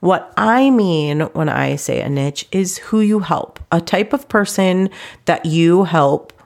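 A woman podcast host with a low, creaky voice, stuffy and nasal from a cold. She talks informally straight to her listeners, explaining things step by step and leaning on her key points. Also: expressive, slow. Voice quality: congested, slightly stuffy nasal sound. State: congested from a cold. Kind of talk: conversational solo podcast talk to listeners.

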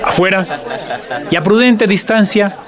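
A man narrating a scripted radio drama in deliberate, measured phrases. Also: high voice. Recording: loud music and crowd behind.

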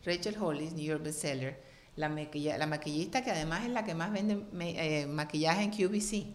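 A middle-aged woman from Colombia, a guest on an interview show, telling stories in an animated, expressive way. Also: low-pitched, moderate pace. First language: Spanish. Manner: lively, expressive, acts out quoted voices. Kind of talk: animated storytelling in conversation.

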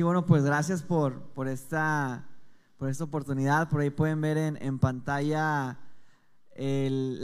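A man with a Mexican accent, a guest singer answering interview questions in a soft-spoken, measured way with many restarts. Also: fairly high pitch, smooth, in a large hall.

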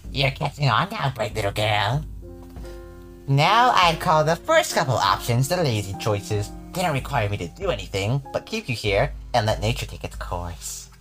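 A man voicing a sinister, bodiless voice that slowly mocks and taunts a trapped girl, read aloud from a storybook. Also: medium pitch, sing-song, a bit bright, soft background music, pitched above the performer's own voice. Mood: gloating menace. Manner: mocking, slow, relishing cruelty.

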